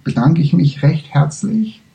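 A man whose first language is not German, giving a spiritual lecture and often pausing to search for words. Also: on the high side, expressive, creaky, dark tone, low-quality recording.

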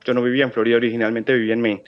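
A man's voice: mid-range voice, level tone, rapid-fire, dry recording, muffled recording. A man in his thirties from Colombia, a guest calling in to a radio show, chatting casually with many fillers and restarts. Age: 30s. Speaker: phone-in guest.

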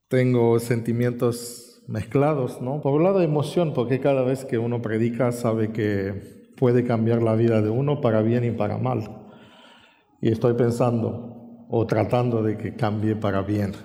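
Romanian-born preacher, Argentine accent, mellow voice, long reverb of a big hall. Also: male voice. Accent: Argentine Spanish. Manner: reflective, pausing with fillers, rises in pitch when reading scripture. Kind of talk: sermon with Bible reading. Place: church hall.

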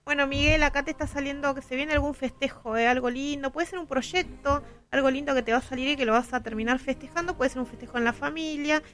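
A woman's voice: high-pitched, fast, few pauses, a bit bright, faint music behind. A woman with a Rioplatense Argentine accent, giving casual readings as a radio tarot reader.

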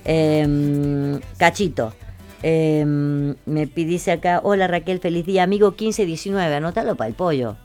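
A woman's voice: low voice, measured pace, darkish tone, faint music behind. A woman with an Argentine accent hosting a casual radio chat, chatty and colloquial, repeating phrases for emphasis. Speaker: radio host.

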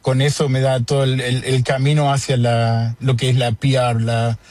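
A man's voice: mid-range voice, little pitch movement, normal speed, darkish tone, no room sound. A man with an Argentine Rioplatense accent, chatting casually on a video call, joking and rambling with fillers. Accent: Rioplatense Argentine Spanish. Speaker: interviewee. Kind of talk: casual conversation.

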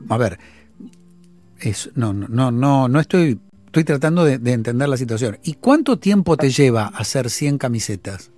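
A man's voice: mid-range voice, lively intonation, moderate pace, a little mellow, faint music behind. A man with a Rioplatense accent hosting a phone-in, probing the caller with insistent questions and recapping her figures. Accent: Rioplatense Spanish. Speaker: radio host.

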